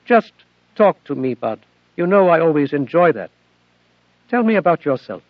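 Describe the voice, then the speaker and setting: A man voicing a gentle, dutiful female artificial intelligence aboard a spaceship, speaking earnestly and plainly, in a dull, lo-fi recording. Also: fairly high pitch, sing-song, moderate pace, no echo. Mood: calm.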